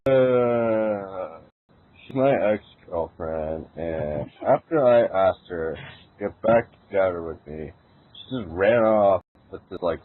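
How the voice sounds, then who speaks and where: A man's voice: low-pitched, animated, takes it slowly, voice pushed down. A man playing an awkward, baffled young guy, mumbling and hesitant in slangy speech, in an amateur fan fiction reading. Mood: sheepish, glum. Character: awkward, baffled young guy getting his fortune told. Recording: thin phone-like sound with background noise.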